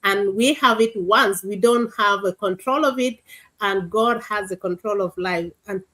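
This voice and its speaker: A woman's voice: mid-range voice, a little slow, pushed and bright. A woman from Sudan, hosting a live online interview show in an earnest way and often repeating words for emphasis. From South Sudan. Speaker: host. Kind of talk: livestream interview.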